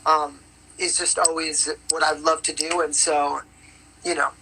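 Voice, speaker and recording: A woman singer with a raspy voice from resting it, talking in a casual, upbeat way with lots of fillers as an interview guest. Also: low-pitched, flat delivery, unhurried, sharp tone, slight background noise, low-quality recording. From New York. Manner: upbeat, rambling with many fillers. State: strained voice on vocal rest. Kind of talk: casual interview on a live call. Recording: bad connection on a live stream.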